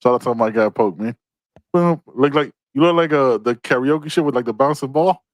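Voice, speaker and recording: A man's voice: mid-range voice, moderate pace, dry recording. A man co-hosting a casual sports podcast over a video call, teasing the others and reading out viewer comments. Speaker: podcast co-host.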